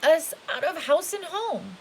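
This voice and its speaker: A woman's voice: high voice, sing-song, unhurried, voice pushed up, heavily acted, low-quality recording. A woman voicing a very old woman who is practical and kindly, a little fretful, in a storybook. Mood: worried. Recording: hissy home recording.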